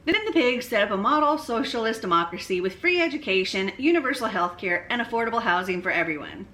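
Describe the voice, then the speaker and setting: A woman reading a bedtime story aloud as storyteller, expressively, with deliberate, emphatic phrasing. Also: a little high, lively intonation, sharp tone.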